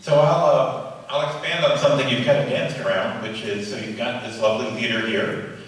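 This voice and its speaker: A man's voice: medium pitch, brisk. A man asking a panel a question in a conversational, hesitant and roundabout way in a theatre. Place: large theatre. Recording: echoey noisy hall, distant pickup.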